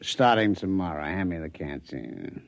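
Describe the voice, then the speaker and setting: A grumbly skeptical boozy hunter, 50s radio comedy, voice goes up n down, muffled old recording. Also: male voice, sits low, animated, moderate pace, gravelly, no echo. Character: grumbling, skeptical, hard-drinking vacation hunter. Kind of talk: 1950s radio comedy acting. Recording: dull, dry vintage radio recording.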